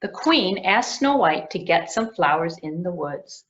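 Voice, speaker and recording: A woman tells a fairy tale to young children, slowly and gently. Her voice rises and falls expressively. The recording sounds dull and lo-fi. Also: on the low side, big pitch swings. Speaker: children's librarian and puppeteer. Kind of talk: storytelling for children.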